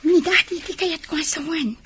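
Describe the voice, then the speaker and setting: Casting: secretive young mistress, coy high voice, radio drama. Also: female voice, steady tone, moderate pace, fairly bright tone, a little hiss or hum. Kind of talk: Ilocano radio drama acting.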